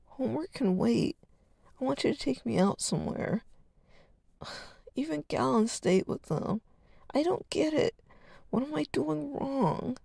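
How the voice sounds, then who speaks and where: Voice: normal speed, gravelly, dry recording, close to an everyday voice. A storyteller voicing a whiny, hurt teenage boy who pleads with his older brother. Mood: whiny, pleading. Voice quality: whining, high and pleading.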